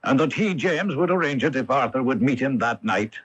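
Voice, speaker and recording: An actor playing a proud, troubled aristocratic Duke in a radio mystery, with a stately, formal and deliberate delivery. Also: male voice, mid-range voice, animated, fairly bright tone, husky. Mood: grave confession. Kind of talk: 1980s radio mystery acting. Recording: dull lo-fi AM radio recording.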